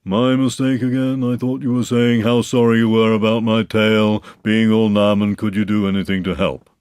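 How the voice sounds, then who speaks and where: A man voicing a gloomy, self-pitying old male donkey who complains slowly and mournfully. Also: lowish voice, flat delivery, a little mellow, clean tone, no echo, lightly acted. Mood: sulky.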